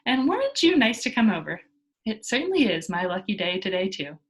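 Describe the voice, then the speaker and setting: A playful, cheeky young girl from a storybook, put on by a woman reading aloud. The pitch leaps about in breezy, teasing exclamations. The tone is fearless, with a husky grain to it. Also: sing-song, fairly quick. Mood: gleeful.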